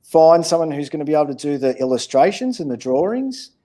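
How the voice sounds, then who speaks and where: An Australian man speaks as a children's author in a relaxed interview. His voice is mellow and sits a little high for a man. He explains things in plain, encouraging words meant for children. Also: fairly quick.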